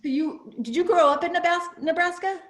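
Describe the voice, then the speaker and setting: A woman's voice: extremely high pitch, fairly quick, fairly bright tone. A woman hosting a webinar, chatting casually beforehand and rambling with fillers and restarts. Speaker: webinar host.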